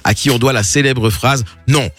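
A man's voice: mid-range voice, moderate pace, fairly bright tone, no room sound. A man hosting an evening radio show, recapping clips with sarcastic jokes and teasing asides. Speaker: radio host. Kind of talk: mocking radio recap banter. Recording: radio broadcast with faint music bed.

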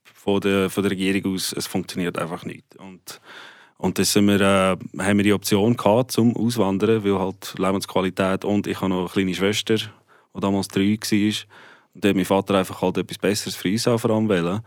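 A man's voice: deep voice, level tone, brisk, no room sound, studio quality. A young man from South Africa, a native Afrikaans speaker, talking casually in Swiss German with an Afrikaans tinge. He laughs easily and often restarts his phrases. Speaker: guest musician. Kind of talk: casual interview chat.

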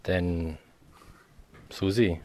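An amateur actor playing a calculating criminal searching for a hidden doll. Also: male voice, sits low, steady tone, very slow, darkish tone, slight background noise. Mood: menacing.

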